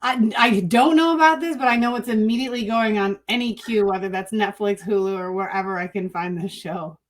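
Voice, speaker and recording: Female podcast guest, thirties, medium pitch, conversational, speaking over a video call. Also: brisk. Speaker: interview guest, marketing agency owner. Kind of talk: casual interview chat. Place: room at home, on a video call.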